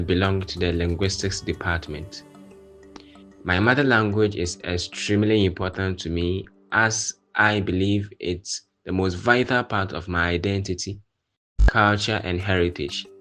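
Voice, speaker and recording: A man's voice: low voice, fairly bright tone. A young man in his twenties, a university student giving an earnest, heartfelt personal statement. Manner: earnest, heartfelt, with "you know" fillers.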